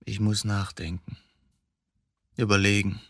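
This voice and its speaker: A man's voice: fairly low pitch, little pitch movement, a little slow, no room sound, studio quality. An actor playing an embittered, middle-aged male court reporter suspected of murder in a German radio drama, brooding and agitated, given to rhetorical questions. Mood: bitter, confessional.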